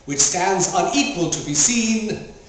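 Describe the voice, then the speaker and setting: A man's voice: high-pitched, expressive, unhurried. A man performing a theatrical poetry recital in a grandiose, declamatory, mock-solemn manner. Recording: very echoey, distant mic, faint noise.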